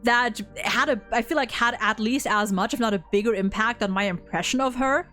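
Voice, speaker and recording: A woman's voice: on the high side, a little fast, fairly bright tone, faint music behind. A woman host talking casually about a video game, rambling and repeating words as she goes, with the odd casual swear.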